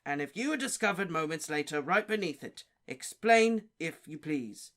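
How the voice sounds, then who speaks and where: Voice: medium pitch, lively intonation, normal speed, fairly bright tone. A reader voicing a stern, officious male wizard official who barks his questions. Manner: sternly barking, interrogating.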